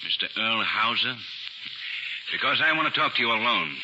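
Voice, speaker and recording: An actor playing a stern assistant district attorney who presses and persuades firmly, in 1940s radio acting. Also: male voice, fairly low pitch, expressive, moderate pace, pushed and bright. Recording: muffled 1940s radio broadcast with hiss.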